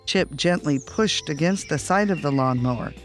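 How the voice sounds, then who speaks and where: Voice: medium pitch, moderate pace, faint music behind. A storyteller reading a scripted children's bedtime story.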